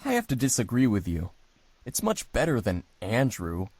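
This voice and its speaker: Chill young rich heir in a visual novel, super swoopy pitch, friendly, dry sound. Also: male voice, huge pitch swings, moderate pace, darkish tone, no room sound. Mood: playful. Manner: casual, easygoing. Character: laid-back, friendly young heir, mildly self-mocking.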